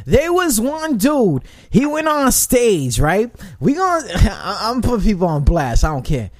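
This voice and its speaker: A man with a high voice hosting a casual radio chat, animated and profane, giving shout-outs and often repeating himself. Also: a little slow, no echo, clean studio sound. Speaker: internet radio host.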